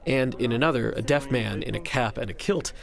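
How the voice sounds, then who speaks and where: A man narrating a scripted radio feature as a reporter, in a measured, even storytelling delivery. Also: mid-range voice, gravelly, faint music behind.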